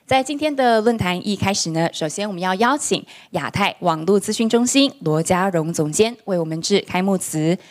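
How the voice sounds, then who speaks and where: A woman emceeing a conference, switching between two languages and announcing the program in a formal, measured way. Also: mid-range voice, animated. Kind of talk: bilingual scripted announcing. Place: conference hall.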